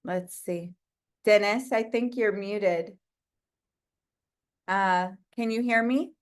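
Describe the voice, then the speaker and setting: A woman hosts a video call with a loud voice at a middle pitch. She talks slowly and effusively, trailing off and starting her sentences over. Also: clear, resonant tone. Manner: effusive, hesitant, with many restarts. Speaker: host. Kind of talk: casual hosting chat.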